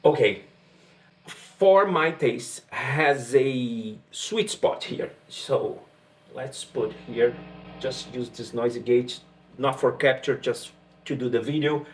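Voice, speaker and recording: A man's voice: medium pitch, takes it slowly. A man from Brazil with a Brazilian accent and Portuguese as his first language, chatting casually as he explains his guitar rig. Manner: relaxed and chatty, with frequent fillers and restarts. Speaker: guitarist demoing gear. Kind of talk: casual gear demo talk.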